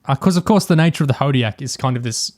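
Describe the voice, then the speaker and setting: A man's voice: mid-range voice, expressive, fast talker, darkish tone, no echo, studio quality. A man in a casual review discussion as a podcast host, thinking aloud in a hesitant way.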